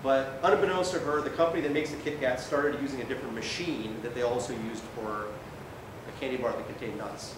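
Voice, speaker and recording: A man's voice: fairly even intonation, a little fast. A male doctor giving an informal medical lecture in a conversational way, often checking in with his listeners. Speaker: emergency physician lecturing. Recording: very echoey room, distant mic, noisy rumble.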